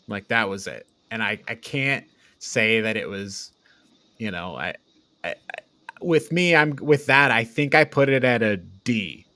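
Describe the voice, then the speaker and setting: A man hosting a casual movie review chat, talking in an informal, halting way peppered with slang. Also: on the low side, animated, pushed and bright, slight background noise. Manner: informal, halting, frequent "like" and "dude". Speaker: host of a media review podcast.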